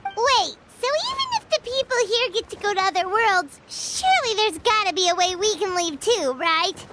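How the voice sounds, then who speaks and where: A woman playing a tiny, childlike, pouty fairy companion in a video game, squeaky and excitable. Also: moderate pace, background noise. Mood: pondering. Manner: indignant, refers to self in third person. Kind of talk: video game character acting. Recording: music behind the voice.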